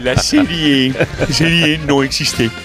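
A flamboyant, stubborn pop diva in a radio comedy sketch. The voice sits a bit high and hammers out emphatic, repeated declarations with kissy theatrical flair. Music plays clearly underneath. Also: male voice, fairly even intonation, takes it slowly, low-quality recording. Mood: indignant, conspiratorial. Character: flamboyant, conspiracy-minded male pop star, stubborn and kissy.

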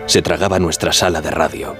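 A man playing a subdued Titanic wireless operator giving halting, deferential testimony in a radio drama. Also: a bass voice, level tone, loud music behind the voice. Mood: somber, reflective. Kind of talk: dramatized testimony over music.

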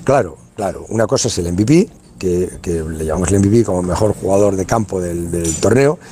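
A man's voice: sits low, brisk, warm tone. A man from Spain, organizer of a youth football tournament, talking in a casual radio interview, colloquial and full of restarts and tag questions.

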